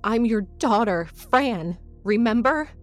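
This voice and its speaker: A woman's voice: lowish voice, lively intonation, measured pace, warm tone, breathy edge, soft background music, heavily acted. A woman voicing an anxious, headstrong and protective daughter in an audio story, urgent and exasperated.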